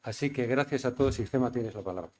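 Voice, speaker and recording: Measured man giving formal thanks. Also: mid-range voice, darkish tone. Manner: measured, thankful, with repeated words. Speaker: event presenter. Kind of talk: formal closing remarks.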